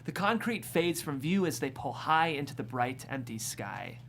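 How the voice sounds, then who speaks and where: Stage directions reader: mid-pitched, descriptive, amused, scripted comedy narration, slight room noise. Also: very animated, moderate pace, a bit bright. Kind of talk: scripted screenplay narration at a table read.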